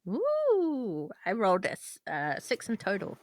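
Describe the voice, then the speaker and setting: A woman's voice: mid-range voice, wildly swooping pitch, a little slow, darkish tone, no room sound. A woman chatting casually as a player in a tabletop game.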